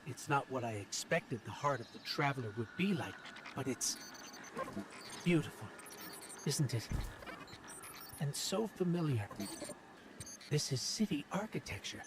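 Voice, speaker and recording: An actor playing a small floating robot companion in a video game, earnest and hesitant, full of wonder. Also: male voice, mid-range voice, lively intonation, a little slow, pauses often, background noise, natural voice. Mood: awed. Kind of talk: video game cutscene and dialogue acting.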